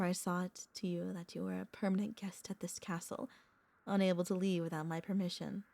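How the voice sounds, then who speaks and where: Centuries-old vampire girlfriend played by a woman: soft-spoken, courtly, possessive yet tender, lowish voice, close dry ASMR sound. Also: vocal fry, no echo. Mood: possessive, longing. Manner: intimate, soft-spoken, hesitant pauses, old-fashioned courtly wording. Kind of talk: ASMR audio roleplay. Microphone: close studio microphone, dry.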